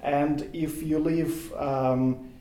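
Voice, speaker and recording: A man's voice: fairly high pitch, steady tone, drawn-out words, dark tone, reverberant, slight background noise. A man with a Russian accent, whose first language is Russian, talking thoughtfully as a podcast guest and searching for his words with frequent restarts. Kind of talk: casual podcast interview.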